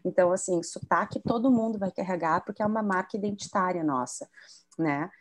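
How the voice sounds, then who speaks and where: A woman's voice: lowish voice, a little fast, a little hiss or hum. A woman from southern Brazil, an educator and author, explaining bilingualism on a video call and often adding tag questions. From southern Brazil (Rio Grande do Sul).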